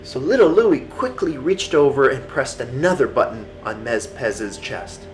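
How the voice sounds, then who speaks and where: A storyteller narrating a children's bedtime story in simple, drawn-out phrases for small children. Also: mid-range voice, expressive. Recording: echoey room with faint music.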